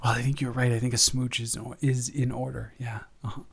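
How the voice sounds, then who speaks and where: A young man playing a devoted, flustered roommate in an intimate, improvised audio roleplay, speaking in a halting, rambling, affectionate and teasing way. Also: medium pitch, level tone, vocal fry, a little hiss or hum, lightly acted. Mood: tender, hopeful.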